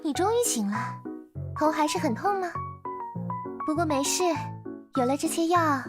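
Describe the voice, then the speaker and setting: Young magical-girl nurse for a visual novel: very high, sweet, soothing, over prominent music. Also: animated, moderate pace. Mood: soothing concern. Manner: gentle, reassuring.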